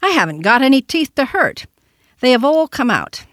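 Cheeky kid, acted by an adult reader, high, hard little voice, boastful and whiny, storybook delivery. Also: very animated, normal speed, dry recording, clean studio sound. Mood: complaining. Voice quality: hard, sharp, immature voice. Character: sharp-voiced young American boy, cheeky, whiny, boastful.

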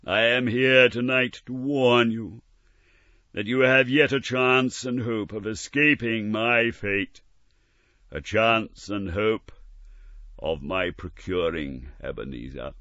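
A tormented chained spectre, put on by a man reading a storybook, who wails in slow, drawn-out cries. The voice climbs well above the reader's own. It sounds bright, dry and a little dull. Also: on the low side, no echo, pitched above the performer's own voice. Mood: solemn. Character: wailing chained male ghost, anguished and solemn.

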